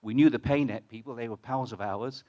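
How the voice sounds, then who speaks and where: A man's voice: mid-range voice, a little fast, mellow, lo-fi sound. A British man, a fintech founder, speaking in a conversational way at a conference and asking the audience questions. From United Kingdom. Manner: conversational, many restarts, asks the audience questions. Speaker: fintech founder presenting on stage. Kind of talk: conference talk to a live audience.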